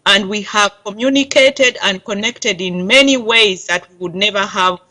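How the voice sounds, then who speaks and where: Bright, rallying Ugandan woman. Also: mid-range voice, normal speed. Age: middle-aged. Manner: earnest, rallying. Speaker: diaspora campaign volunteer. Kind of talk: interview answer.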